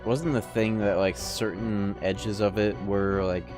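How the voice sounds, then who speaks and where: A man's voice: on the low side, steady tone, a little slow, music in the background. A man streaming a video game, giving laid-back, sardonic commentary and swearing freely. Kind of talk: casual live gameplay commentary.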